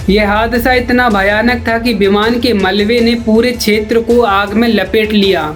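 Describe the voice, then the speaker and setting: A woman's voice: on the high side, fairly even intonation, a little slow. A woman delivers scripted documentary-style news narration. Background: background music.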